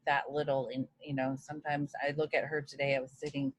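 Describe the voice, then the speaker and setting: A woman's voice: extremely low pitch, level tone, fast. A woman from Hawaii, a guest on a video call interview. She speaks in an earnest, reflective way. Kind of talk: casual interview chat.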